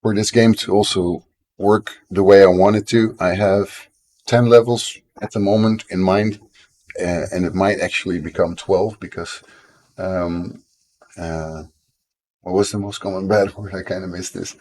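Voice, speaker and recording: A man's voice: low voice, steady tone, a little slow, a little mellow. A Dutch man in his thirties, a game developer chatting on a live stream from home, rambling and self-deprecating with lots of fillers and restarts, coughing now and then. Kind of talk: casual live-stream chat.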